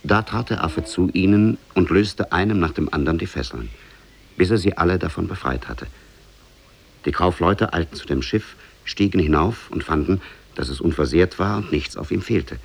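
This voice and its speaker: Deep, creaky-voiced male storyteller. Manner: measured, storytelling. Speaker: narrator. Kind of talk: scripted storybook narration, 1950s radio.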